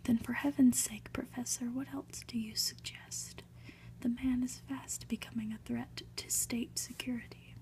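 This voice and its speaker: A woman's voice: fairly high pitch, fairly even intonation, moderate pace, darkish tone, natural voice. A woman voicing an insistent, earnest prime minister in a whispered ASMR storybook reading. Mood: urgent.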